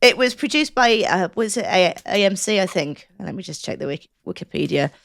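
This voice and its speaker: A woman's voice: mid-range voice, animated, a little fast, vocal fry, a bit bright, no room sound, studio quality. A woman co-hosting a podcast in casual chat, talking in a rambling, hesitant, conversational way. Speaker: podcast co-host. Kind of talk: casual chat, reviewing what she has watched.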